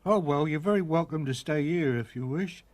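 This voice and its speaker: A man's voice: fairly high pitch, normal speed, lightly acted. A man voicing a kind, sleepy female cow in a storybook tale. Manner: sleepy, kindly, gentle.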